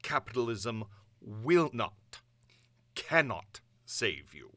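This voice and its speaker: A man performing a grandiose supervillain dictator's monologue, pompous and deliberate, addressing the world in imperious, theatrical declamation. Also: mid-range voice, sing-song, pushed and bright, no echo, full character voice. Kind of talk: dramatic villain monologue.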